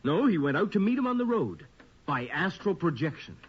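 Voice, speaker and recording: An actor playing an earnest, uneasy investigator who explains the supernatural in a grave manner in a radio drama. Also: male voice, fairly high pitch, sing-song, a little fast, slightly rough. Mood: insistent. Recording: muffled old radio recording.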